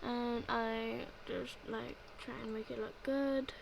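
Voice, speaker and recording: A woman's voice: on the high side, level tone, takes it slowly, vocal fry, a crowd in the background, rough recording. A young woman narrating her gameplay in a casual voice-over, rambling and filling gaps with "like, yeah". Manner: rambling, filler-heavy, repeats "like, yeah". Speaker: gaming video creator.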